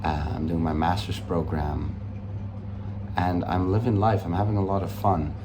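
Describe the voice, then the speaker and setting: A man's voice: deep voice, fairly even intonation, moderate pace, noisy background. A Dutch man in his twenties with a mixed American-international accent, rambling in a cocky, upbeat way through a casual vlog. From Netherlands. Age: 20s. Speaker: vlogger. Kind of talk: casual unscripted vlog monologue. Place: room in London.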